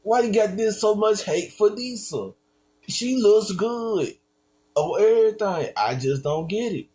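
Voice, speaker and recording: A man's voice: high-pitched, animated, unhurried, dark tone. A man streaming, reacting to a video with slangy, incredulous casual commentary.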